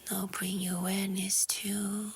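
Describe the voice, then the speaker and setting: A woman with a soft, breathy, whispery voice calmly guiding a relaxation meditation in short, gentle phrases. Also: medium pitch, a little hiss or hum. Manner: calm, hushed, gentle, with short pausing phrases. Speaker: yoga nidra meditation guide. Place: outdoors in nature. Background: faint natural ambience.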